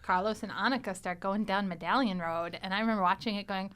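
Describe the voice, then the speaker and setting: A woman hosting and editing a podcast, chatting in a studio, lively and quick to laugh. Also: medium pitch, background noise. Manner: animated, laughs often. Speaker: podcast host and editor. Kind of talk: casual chat.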